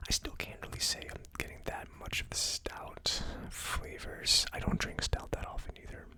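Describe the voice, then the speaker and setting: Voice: moderate pace, bright, forceful tone. A person giving a casual ASMR tasting review as host, speaking informally and a little tentatively. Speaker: host of a whiskey tasting series.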